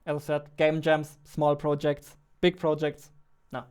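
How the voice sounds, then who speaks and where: A man's voice: fairly high pitch, monotone, unhurried, a little mellow, clear, resonant tone. A man in his twenties chatting casually on a live stream into a close studio mic, informal and self-deprecating. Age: 20s. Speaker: game developer live-streamer. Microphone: close studio mic on boom arm.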